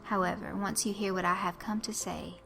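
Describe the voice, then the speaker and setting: A woman voicing a short, cunning male Southern dandy with a Southern accent and a flowery, drawn-out way of stressing his words. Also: mid-range voice, little pitch movement, gravelly, music in the background, close to an everyday voice. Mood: genteel, menacing. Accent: American Southern. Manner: ornate, drawn-out emphasis.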